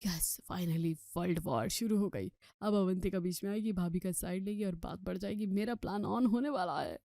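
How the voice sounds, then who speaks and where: A woman's voice: lowish voice, normal speed, vocal fry, mellow, no echo, studio quality. A woman voicing a sulky, scheming young husband who complains with exasperation in a family story. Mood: scheming, frustrated.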